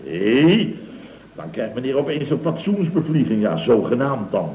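An actor playing a flustered, blustering middle-aged contractor boss who stammers, repeats himself and grumbles in a comic radio drama. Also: male voice, mid-range voice, very animated, measured pace. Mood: grumbling, scornful. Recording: dull, roomy old radio recording with hiss.

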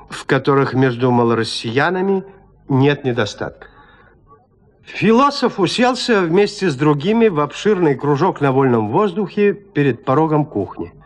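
Higher-pitched, expressive male narrator. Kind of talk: scripted literary narration, audio drama.

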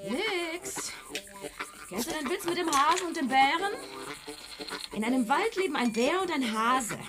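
A woman acting in a German radio play as a fed-up, sardonic wife, quietly defiant and biting as she talks. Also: sits high, lively intonation, a little slow. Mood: curt, resentful. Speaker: actress in a radio drama.

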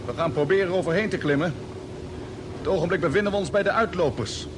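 An actor playing a calm, authoritative space expedition captain in an old radio drama, giving measured orders. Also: male voice, close to an everyday voice. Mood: sober, steady. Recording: noisy old radio drama, vehicle rumble.

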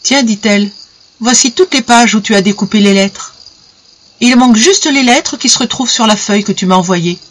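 Narrator acting a calm, unyielding wife, slightly husky. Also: medium pitch, moderate pace, pitched above the performer's own voice, lightly acted. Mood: quietly triumphant. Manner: simple, unruffled, matter-of-fact answers. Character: calm, impenetrable young wife, quietly firm.

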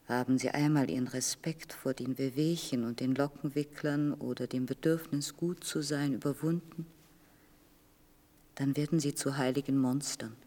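An actress in a German radio play as a frail, motherly middle-aged woman, half-whispering haltingly in a breathy, intimate murmur. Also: extremely low pitch, fairly even intonation, dark tone. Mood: murmured, confiding.

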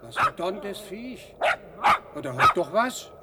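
An actor playing a practical rural woodcutter in a radio play. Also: male voice, high voice, very animated, measured pace. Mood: practical, alert. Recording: very noisy outdoor scene with dog.

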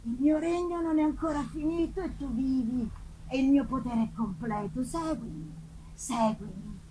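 A woman playing a vengeful male demon who gloats and taunts slowly in a gothic story reading, on a noisy live recording. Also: high voice, mellow, lightly acted. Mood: menacing, taunting. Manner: gloating, taunting, slow and deliberate. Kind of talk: dramatic gothic novel reading.

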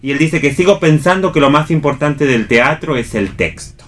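A man's voice: a little high, expressive, normal speed, fairly bright tone. A man with an Argentine accent hosting an unscripted cultural discussion on radio, reflective and often repeating words as he searches for the next one. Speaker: radio theatre show host.

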